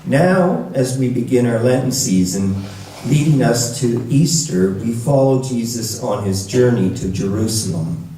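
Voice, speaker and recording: A man reading scripture to a church congregation in a measured, deliberate way, recorded with a roomy echo from a distant mic. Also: medium pitch, animated, dark tone, slight background noise. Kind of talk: scripture reading with brief introduction.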